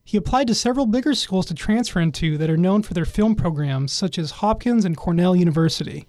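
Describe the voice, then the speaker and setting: High-voiced man reading news. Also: animated, a little mellow, a little hiss or hum. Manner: measured reading. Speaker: news reporter narrating. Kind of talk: scripted news narration.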